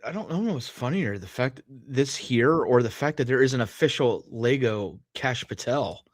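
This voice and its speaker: A man of medium pitch banters casually on a podcast. His intonation is very animated and his manner sardonic and sweary. The recording is dry, without room echo. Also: moderate pace. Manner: profane, sardonic. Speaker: podcast co-host.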